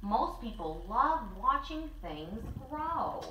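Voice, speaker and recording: Expressive, high-pitched woman reading a children's story. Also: slow pace, a little hiss or hum. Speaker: co-host reading a picture book. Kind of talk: animated children's story read-aloud. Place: small living room with fireplace and bookshelves. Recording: roomy, slightly distant camera mic.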